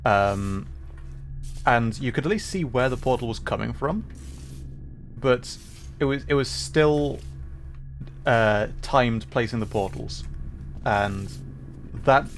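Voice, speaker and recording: A man's voice: medium pitch, animated, slow, long pauses. A man streaming a game live, explaining level design in an enthusiastic, rambling way. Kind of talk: live gameplay commentary.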